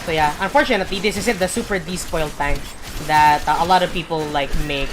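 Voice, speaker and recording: Rambling lower-voiced woman streamer. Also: fairly quick, noisy background. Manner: rambling, filler-heavy, searches for words. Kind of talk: gameplay commentary.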